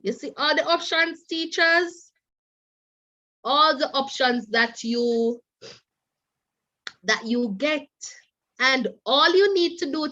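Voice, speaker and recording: A woman speaks slowly and deliberately, a little stuffy and nasal from a cold. Her voice sits high and comes across bright. The sound is dull and lo-fi, like a video call. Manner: repetitive, coaxing, keeps addressing "teachers". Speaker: teacher trainer. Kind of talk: online teacher training seminar.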